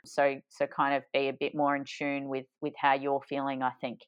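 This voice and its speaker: A woman with a low, smooth, resonant voice summarizes an interview as a podcast co-host. She speaks thoughtfully and hesitantly, pausing on frequent ums and restarting her phrases. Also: completely flat delivery, muffled recording.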